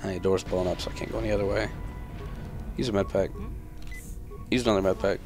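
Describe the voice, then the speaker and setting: Young male gamer, deeper side, casual and foul-mouthed, wry running commentary, clear music behind. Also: steady tone, quick pace, a little mellow. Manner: sarcastic, profane running commentary. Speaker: gaming video host.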